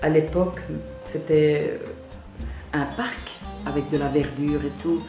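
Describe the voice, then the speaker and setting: A woman's voice: low-pitched, fairly even intonation, slow. A woman, speaking in a documentary interview. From Belgium. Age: 50s. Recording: echoey room, clear background music.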